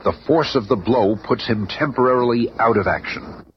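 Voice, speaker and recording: A man's voice: medium pitch, moderate pace. A man giving dramatic scripted narration in an old-time radio show. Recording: dull noisy old radio recording.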